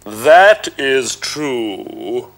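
A man voicing a huge, boastful male ogre who booms his words slowly and sneers, with music behind. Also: very animated, a bit bright, heavily acted. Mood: booming. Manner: booming, slow and drawn-out. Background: background music.

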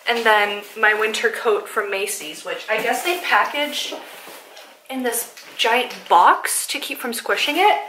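A woman's voice: on the high side, a little slow, bright, forceful tone, a little hiss or hum, low-quality recording. Chatty young woman vlogging. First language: Polish. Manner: chatty, self-mocking, sprinkled with likes and ums. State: slightly hoarse. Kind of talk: casual vlog chat to camera. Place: apartment living room, car and store. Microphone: handheld camera close up.

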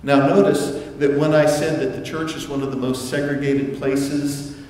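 A middle-aged man preaching a sermon to his congregation in a relaxed, conversational way, with asides and restarts. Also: on the high side, moderate pace. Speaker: pastor. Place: church sanctuary. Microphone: far camera, room sound. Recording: echoey church hall from far off.

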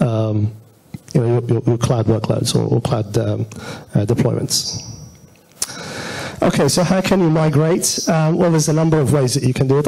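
A man with a noticeably creaky, gravelly voice at a middling pitch gives an informal tech talk from a stage. He speaks into a handheld microphone in a conversational manner, feeling his way through the phrases. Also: darkish tone, poor audio. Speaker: conference speaker. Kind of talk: informal technical presentation. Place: auditorium stage at a meetup.

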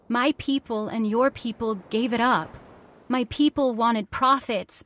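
An actress playing an earnest, emotional young heiress with frightened idealism in a radio horror drama. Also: female voice, fairly high pitch, close to an everyday voice.

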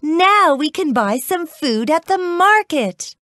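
A woman voicing an excitable, scolding mother in a children's storybook, speaking in exclamations. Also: a little high, takes it slowly, dry recording, clean studio sound, pitched above the performer's own voice, exaggerated voice. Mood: overjoyed. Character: poor, excitable, scolding mother of young boy.

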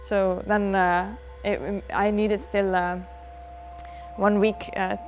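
A woman in her thirties with an Icelandic accent talks through interview answers in a dark studio, pausing often and filling gaps as she thinks. Her voice stays even and unhurried, with a slight gravelly texture. Faint music sits quietly underneath. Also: medium pitch. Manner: soft-spoken, thoughtful, many pauses and fillers. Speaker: dancer and choreographer.

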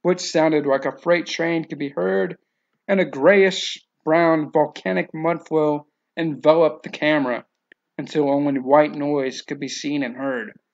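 Male novel narrator, slightly high pitch with gravelly fry, reading from a script, lo-fi sound. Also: steady tone, measured pace.

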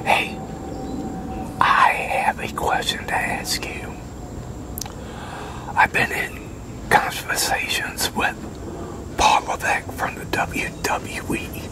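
Older man whispering slowly. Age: 60s. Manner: unhurried, halting, one-sided conversation. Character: easygoing male wrestling promoter in his office. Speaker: ASMR roleplayer playing a wrestling promoter. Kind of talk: whispered ASMR roleplay. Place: open-sided concrete pavilion outdoors, at a metal picnic table.

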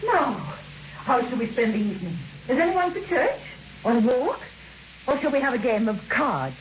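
An actress playing a brisk, chirpy, sociable hostess in an old radio drama. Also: female voice, on the high side, big pitch swings. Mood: cheerful. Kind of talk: old-time radio acting. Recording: dull old radio recording.

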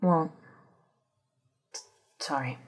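A woman acting an intense, insistent female character in an ASMR-style supernatural audio drama. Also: extremely slow. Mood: restless, impatient.

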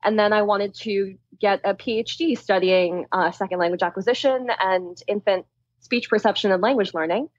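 Woman scientist in her thirties, animated and chatty, dry and a bit lo-fi. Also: medium pitch, moderate pace, dry recording. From Philadelphia, US. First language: Tamil. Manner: laughs often, chatty and animated. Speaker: guest scientist. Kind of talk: casual interview chat.

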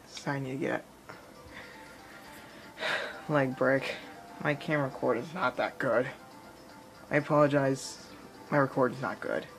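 A man's voice: medium pitch, steady tone, slow pace, long pauses, a bit bright. Man doing casual gameplay commentary over game music. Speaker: gaming video maker, player. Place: small room at home, filming a TV screen. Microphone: handheld camera or phone mic. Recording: camera mic in room, game music. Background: video game music.

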